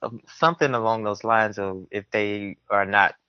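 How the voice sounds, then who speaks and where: A man's voice: on the low side, steady tone, moderate pace, smooth, no room sound, muffled recording. A man, telling personal stories casually as an interview guest. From southern United States. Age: early 20s. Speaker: podcast guest.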